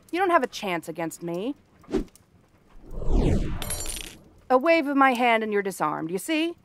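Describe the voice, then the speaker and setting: A woman's voice: mid-range voice, fairly bright tone, dry recording, natural voice. Woman acting a bratty, sardonic frog-girl princess. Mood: exasperated, softening. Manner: haughty, sardonic, theatrical tsundere delivery. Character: bratty, cranky cursed frog-girl princess with a soft spot. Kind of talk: ASMR fantasy roleplay monologue.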